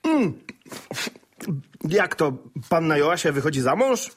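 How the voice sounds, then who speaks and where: An actor playing a genial, worldly gentleman landowner, a reformed rake, with a wry and courteous manner in a radio drama. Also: on the high side, big pitch swings, slow pace, heavily acted. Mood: curious, friendly.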